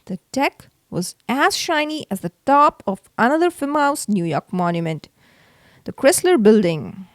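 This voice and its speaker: Slow, expressive woman reading a storybook. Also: on the high side, no room sound. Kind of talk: children's storybook read-aloud.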